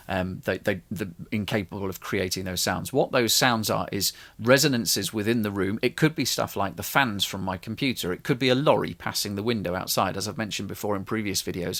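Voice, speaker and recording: A man's voice: on the low side, a bit bright. A man casually explaining his home studio mixing process, in a loose, rambling way with many restarts. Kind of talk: casual tutorial talk.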